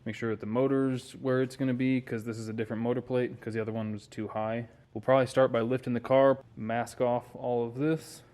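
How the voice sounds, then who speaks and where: A man's voice: mid-range voice, little pitch movement, fairly quick, hardly any pauses, a little mellow. An American man narrating a garage car-build vlog informally, thinking aloud as he works. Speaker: car builder and video host. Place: home garage.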